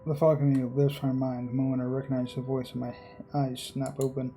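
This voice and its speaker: Gravelly man hosting a game playthrough. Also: medium pitch, level tone, fairly quick, mellow, rough recording. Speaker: gaming video host. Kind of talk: reading visual novel narration aloud with casual commentary. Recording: game music behind the voice.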